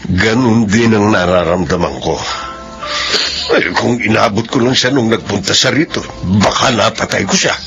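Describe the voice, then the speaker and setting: A man's voice: on the low side, normal speed, lo-fi sound, over music, natural voice. A man acting a stern, protective, resentful middle-aged uncle in a Filipino radio drama, firm and advising. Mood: angry, resentful.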